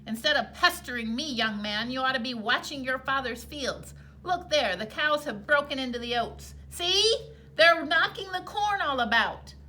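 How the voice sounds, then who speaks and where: A woman's voice: high voice, lively intonation, normal speed, bright, forceful tone, a little hiss or hum. A woman voicing a sly, tiny old male leprechaun who grumbles and wheedles in a children's storybook read-aloud. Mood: sharp, scolding. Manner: whining, wheedling trickster.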